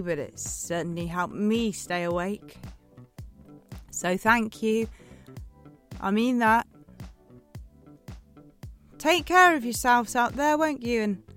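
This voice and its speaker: A woman playing a lonely, desperate late-night radio DJ in an audio drama, speaking in a halting, pleading way. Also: a little high, a bit bright.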